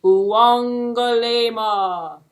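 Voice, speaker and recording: A woman voicing a patient, determined tortoise in a puppet-show tale, drawing out words slowly and deliberately. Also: on the high side, pitched above the performer's own voice. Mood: persistent, deliberate. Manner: slow drawn-out deliberate speech. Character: slow, patient, determined tortoise, unhurried and persistent.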